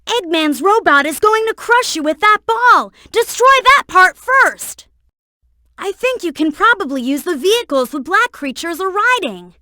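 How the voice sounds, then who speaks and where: A woman voicing a young boy fox sidekick in a video game, earnest, helpful and excitable, with clear childlike delivery. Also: very high voice, unhurried, no room sound, studio quality, exaggerated voice. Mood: earnest, instructive. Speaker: video game character giving hints. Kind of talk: game voice acting, tutorial hints and alerts.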